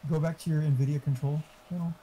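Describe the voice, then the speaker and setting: Informal male tech helper, muffled dark voice, faint background noise, chatty and explanatory. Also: a little high, steady tone, fast talker. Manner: informal, explanatory, mildly profane. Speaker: friend giving tech advice. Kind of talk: casual chat.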